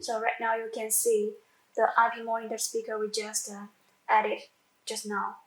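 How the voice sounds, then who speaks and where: A woman who speaks English as a second language, giving a halting step-by-step software tutorial with repeats and self-corrections. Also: on the high side, monotone. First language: not English. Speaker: product tutorial presenter. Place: indoor room, demonstrating at a computer screen. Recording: echoey room sound.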